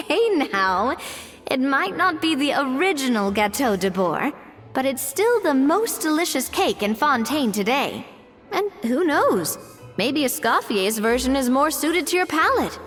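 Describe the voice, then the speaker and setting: A woman's voice: high voice, sing-song, a little slow, fairly bright tone, long reverb tail, faint music behind, heavily acted. A woman playing a cheerful, reassuring young woman in a video game, with a light, coaxing, conversational delivery. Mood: playful, reassuring.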